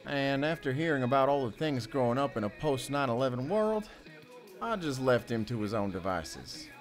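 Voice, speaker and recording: A man playing a weary, soft-hearted ex-convict handyman in an audio drama, talking in a measured, folksy way with long pauses. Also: medium pitch, animated, soft background music, lightly acted. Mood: guarded, reflective.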